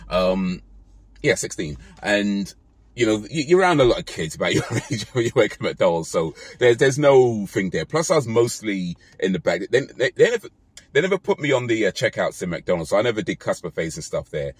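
Low husky British man, relaxed talk. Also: a little fast, no room sound. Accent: British English. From Britain. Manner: relaxed, rambling, with fillers and self-corrections. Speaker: vlogger. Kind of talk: casual talk to camera. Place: parked car, indoors. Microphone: phone camera held close.

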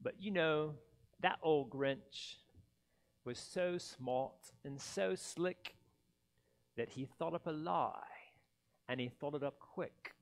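A man in his forties reading a children's story theatrically, with dramatic, deliberate pauses between phrases. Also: a little high, creaky. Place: indoors in a church by a Christmas tree. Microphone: headset mic.

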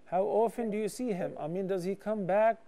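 A man in his thirties, a studio TV scholar, answering callers' questions with calm, measured explanations into a lapel mic. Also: high-pitched. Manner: calm, measured, explains step by step with hand gestures. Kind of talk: studio call-in Q&A advice.

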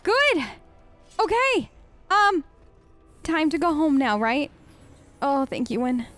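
A woman hosting a casual role-play game video, expressive and chatty as she talks to the characters. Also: sits high, takes it slowly, no echo, faint music behind. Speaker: gaming video host. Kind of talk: in-character playthrough commentary, casual.

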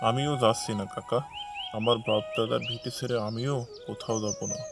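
A village husband in a cartoon folk tale, acted by a man, with a mid-pitched male voice. He talks in a conversational, bantering way with stubborn loyalty to his home. Loud music runs underneath. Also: steady tone, fairly quick, gravelly, close to an everyday voice. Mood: resolute. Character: plain-spoken village husband, loyal to ancestral home, teasing. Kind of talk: animated folk tale dialogue.

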